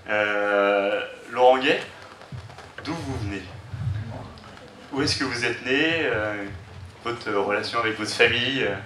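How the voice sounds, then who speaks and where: A man's voice: mid-range voice, takes it slowly, pauses often, bright, forceful tone, far from the mic, slight background noise. A man interviewing a guest, asking his prepared questions in a casual, hesitant way. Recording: echoey room, voice from off the mic.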